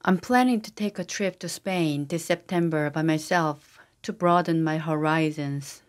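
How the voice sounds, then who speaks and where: A synthetic woman's voice reading English practice sentences for a language lesson, in an even, steady delivery. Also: deep voice, measured pace. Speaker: language teacher voice. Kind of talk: scripted English drill sentences.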